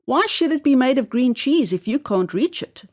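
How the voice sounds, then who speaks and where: A woman plays a little storybook mouse, a naive and stubborn boy. The voice is fairly high and swings expressively, earnest and polite but insistent. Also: big pitch swings, moderate pace, darkish tone. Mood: eager, insistent.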